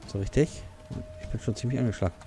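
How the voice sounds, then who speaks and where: A man's voice: medium pitch, quick pace, music in the background. A man with a German accent giving casual let's play commentary, muttering half-sentences to himself and exclaiming during fights.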